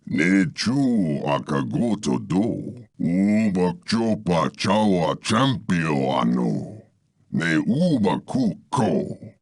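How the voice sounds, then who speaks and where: An actor playing a huge, menacing alien crime lord in a video game, speaking ponderously with a deep gravelly rumble. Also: male voice, sing-song, extremely slow, darkish tone, no echo, clean studio sound, full character voice. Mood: imperious, menacing.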